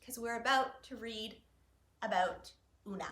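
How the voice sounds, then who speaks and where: A woman hosting story time for young children, talking and reading aloud in an animated way, addressing them as friends. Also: a little high, a little slow. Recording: roomy echo, faint music.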